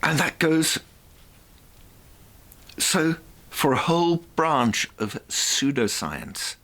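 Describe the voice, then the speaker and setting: A man presenting a reflective monologue in a theatrical, deliberate way, with rhetorical asides. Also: a little high, big pitch swings, fairly bright tone.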